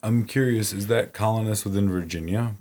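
A man's voice: lowish voice, a little fast, darkish tone. A man co-hosting a casual podcast, sleepy and dry, tossing in jokes. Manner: tired, dry, joking. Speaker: podcast co-host.

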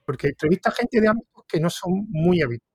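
Un hombre de Córdoba con acento andaluz, anfitrión de un podcast, que entrevista en tono de charla y divaga con muchas dudas y reinicios. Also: male voice, high voice, lively intonation, quick pace, darkish tone, slightly rough, no room sound, clean studio sound. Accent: Andalusian Spanish. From Córdoba, Spain. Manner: rambling, hesitant, restarts thoughts. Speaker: podcast host interviewer. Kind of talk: casual interview conversation.

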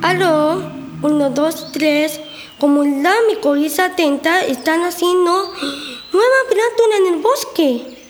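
Dutiful forest mushroom messenger, acted by a woman, very high voice, cheerful radio-play announcing. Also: unhurried, soft background music. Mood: businesslike. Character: friendly, dutiful forest fungus, busy supply messenger. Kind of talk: school radio play acting.

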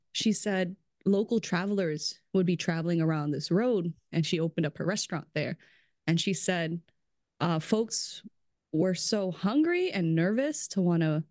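A woman's voice: on the low side, lively intonation, unhurried, darkish tone, dry recording. Woman guest, loose chatty delivery peppered with "like," close and dry sound. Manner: chatty, fills speech with "like", laughs often. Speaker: brand strategist guest. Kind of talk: casual podcast chat.

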